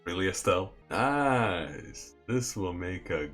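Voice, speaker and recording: Man, low voice, casual game commentary, informal, joking, music in background. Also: lively intonation, slow, fairly bright tone. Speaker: playthrough co-host.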